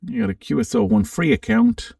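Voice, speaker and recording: A man giving a casual, unscripted software walkthrough, thinking aloud with some hesitation as he presents. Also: on the high side, wildly swooping pitch, a little fast, creaky, clean studio sound. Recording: roomy, slightly distant microphone.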